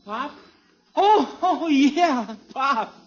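A man's voice: very high-pitched, lively intonation, drawn-out words, full character voice. An actor playing a nervous, polite young American expectant father in a 1950s radio comedy, earnest and hesitant. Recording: dull vintage radio recording.

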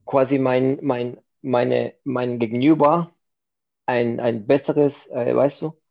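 A man's voice: medium pitch, level tone, slow, gravelly. A man whose first language is Spanish, a podcast guest chatting loosely in German, with lots of fillers. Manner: loose, filler-heavy, says "weißt du" often. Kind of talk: casual interview chat. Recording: tinny phone-like line.

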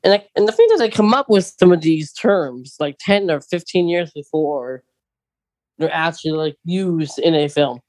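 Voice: medium pitch, moderate pace, a little mellow, dry recording. A podcast co-host chatting casually about films and books.

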